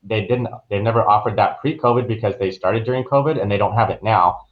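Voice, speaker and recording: A man's voice: fairly low pitch, fast, narrow, tinny audio. A man giving casual, easygoing, anecdotal answers as an interview guest. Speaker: travel agent, interview guest.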